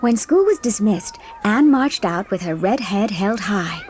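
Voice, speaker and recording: A woman's voice: medium pitch, animated, unhurried, darkish tone, slight background noise. A woman reading scripted audiobook narration of a story as its narrator.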